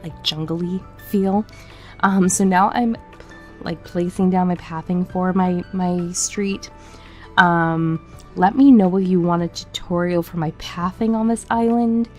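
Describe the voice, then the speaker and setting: A woman's voice: on the low side, a little mellow. A woman recording a casual, chatty gaming voiceover while sick with a cough, her voice a little cracked. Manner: chatty, informal, conversational. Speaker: gaming video creator. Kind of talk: casual voiceover commentary on a game build. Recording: music behind the voice. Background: background music.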